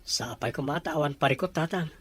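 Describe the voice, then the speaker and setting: A man's voice: a little high, fast, slightly rough. A man with a Filipino accent acting a worried, lovestruck young son in an amateur audio drama. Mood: troubled. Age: young adult.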